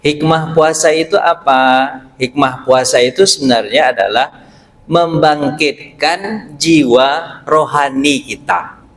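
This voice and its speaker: A man's voice: a little high, slow, fairly bright tone, low-quality recording. A middle-aged man with a Pariaman Minangkabau accent giving a short mosque sermon, joking and quizzing the congregation with questions. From Pariaman, West Sumatra, Indonesia. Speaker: preacher and university lecturer.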